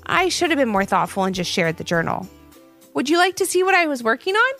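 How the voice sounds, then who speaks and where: Lady doing a squeaky excitable kid brother, storybook voice, high and bouncy, faint music behind. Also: brisk, exaggerated voice. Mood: apologetic. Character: young boy, bickering brother who draws comics.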